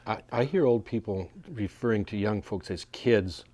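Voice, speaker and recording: An older man from Central Oregon on a panel, speaking in a measured, thoughtful way. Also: lowish voice, darkish tone. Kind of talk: panel discussion.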